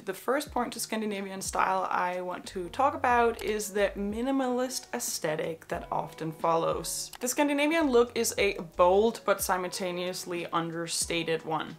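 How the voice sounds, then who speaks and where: A young woman from Denmark speaks to her channel in a scripted, explanatory way. She is measured and thoughtful and often adds small asides. Her voice sits at an ordinary pitch for a woman. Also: fairly bright tone. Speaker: fashion vlogger. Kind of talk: scripted talk to camera.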